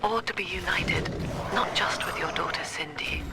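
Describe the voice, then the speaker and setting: An actress playing a cold, cerebral middle-aged psychiatrist and cult leader in a video game, speaking in a formal, precise, solemn manner. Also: female voice, on the low side, moderate pace, loud music behind the voice, close to an everyday voice. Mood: coolly persuasive. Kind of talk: dramatic video game monologue.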